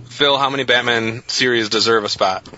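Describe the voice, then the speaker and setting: A man's voice: mid-range voice, steady tone, normal speed, pushed and bright. A man hosting and moderating a casual panel discussion on a podcast. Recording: dull lo-fi podcast audio.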